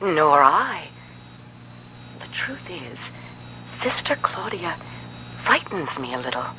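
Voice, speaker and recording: An actress playing an anxious, devout cult follower in a video game, hesitant and reverent. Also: female voice, sits low, very animated, slow, bright, forceful tone, a little hiss or hum, heavily acted, rough recording. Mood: fearful.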